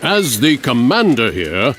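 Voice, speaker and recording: An actor playing a hearty, imperious warlord king in a video game cutscene, booming and self-important. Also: wildly swooping pitch, slow, fairly bright tone, a little hiss or hum, full character voice. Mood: commanding.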